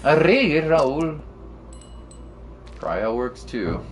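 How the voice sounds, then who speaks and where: A man's voice: a little high, big pitch swings, very slow, a little hiss or hum. A man giving casual gameplay commentary to livestream viewers, slangy and musing aloud to himself, with game music playing behind him. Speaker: game streamer.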